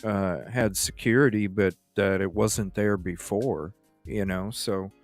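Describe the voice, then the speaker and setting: A man's voice: lowish voice, steady tone, unhurried, soft background music. A man hosting a true-crime stream, talking casually and conversationally in a rambling way, repeating himself for emphasis. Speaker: host of a true-crime livestream. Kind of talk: casual case discussion.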